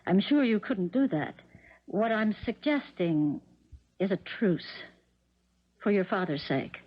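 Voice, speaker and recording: A woman's voice: medium pitch, lively intonation. Patient stepmother, dull radio sound. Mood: earnest, conciliatory. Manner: measured, conciliatory. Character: patient, reasonable stepmother seeking peace. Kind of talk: 1970s radio drama acting. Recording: dull 1970s radio recording.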